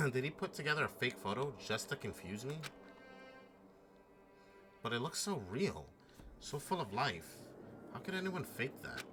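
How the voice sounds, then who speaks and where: A man's voice: mid-range voice, voice pushed up. A man voicing an earnest, anxious teenage boy who narrates and wonders aloud in a visual novel. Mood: puzzled, dejected. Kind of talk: fan-voiced visual novel reading.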